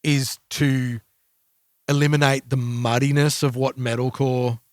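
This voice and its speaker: Measured man chatting about music. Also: medium pitch, level tone, no echo, clean studio sound. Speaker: podcast co-host. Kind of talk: casual music discussion.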